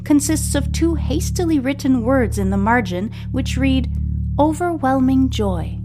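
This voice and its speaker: A woman reading a scripted serial story aloud as a podcast host, with a measured, deliberate storytelling delivery. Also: high-pitched, expressive. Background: music.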